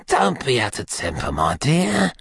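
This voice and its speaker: A man's voice: fairly high pitch, huge pitch swings, measured pace, breathy edge, dry recording, studio quality, voice pushed up, exaggerated voice. A man voicing a wily old gang boss in an audiobook, submissive, ingratiating and pleading. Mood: submissive wheedling. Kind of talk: audiobook character acting.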